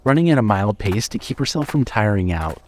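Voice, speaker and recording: A man's voice: on the low side, animated, a little fast, slight background noise. A man narrating a scripted horror story as the narrator of an audio drama.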